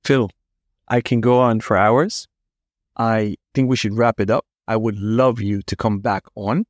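A man's voice: on the low side, steady tone, a little slow, dry recording, clean studio sound. A man with a Dutch accent hosting a podcast, asking eager questions in casual interview chat. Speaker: podcast host.

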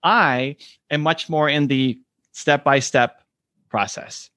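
A man's voice: slow pace, sharp tone, no room sound. Earnest man, dry close sound. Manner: earnest, acts out quoted voices with rising pitch. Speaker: podcast guest. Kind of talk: reflective conversational interview answers.

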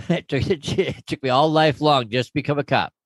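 A man's voice: a little high, normal speed, no echo. A man in his fifties co-hosting a casual podcast chat, joking and rambling off on tangents. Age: 50s. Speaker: podcast co-host.

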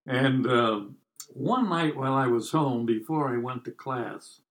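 Old american guy in his 90s spinning stories, real slow, wry and rambly. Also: medium pitch, expressive. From United States. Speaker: podcast guest telling life stories. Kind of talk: casual storytelling.

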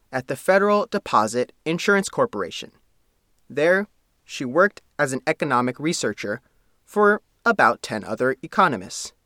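A man narrating a scripted profile story as its reporter, reading in a measured, formal way. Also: medium pitch, sharp tone, dry recording, clean studio sound.

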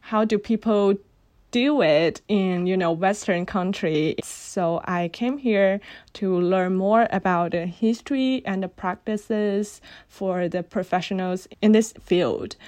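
A young woman from Guangdong with a Chinese accent, a native Cantonese speaker, talking thoughtfully as a graduate student guest on a podcast, pausing on fillers. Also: lowish voice, a little mellow. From Guangdong, China. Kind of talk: podcast interview.

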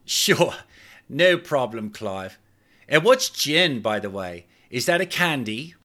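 A man's voice: mid-range voice, big pitch swings, slow, pushed and bright, pitched above the performer's own voice, full character voice. A man voicing a naive young woman with a Cockney accent, innocent and unguarded. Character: naive young woman posing as her sister.

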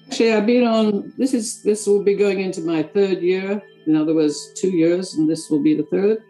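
An older woman with an English accent, a charity board member answering interview questions in a halting, rambling way. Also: medium pitch, mellow, faint music behind, low-quality recording. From England. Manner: halting, rambling with many restarts.

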